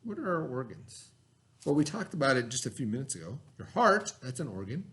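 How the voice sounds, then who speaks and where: A man's voice: medium pitch, big pitch swings, a bit bright. A man teaching children by reading a lesson aloud, in an instructional way that mixes reading with asides and questions. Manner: instructional, pausing to pose and answer questions.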